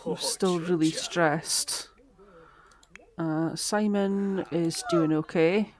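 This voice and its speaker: A woman, chatting through a live-streamed game, a little hoarse and talking to her characters. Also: on the low side, steady tone, extremely slow, darkish tone. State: slightly hoarse, losing her voice. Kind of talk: live-stream gameplay commentary.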